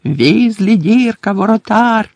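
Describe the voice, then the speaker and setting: A man voicing gloating school sports fans in an audiobook, singing a slow, drawn-out mocking chant. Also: high-pitched, expressive, a little mellow, dry recording, voice pushed up, exaggerated voice.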